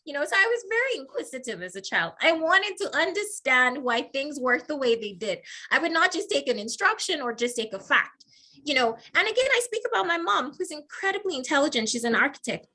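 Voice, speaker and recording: A woman with a Nigerian accent speaks over a video call. Her voice is bright and sits a little high. She tells lively stories and laughs often. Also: fairly quick. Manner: warm storytelling with frequent laughs. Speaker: guest, faculty member.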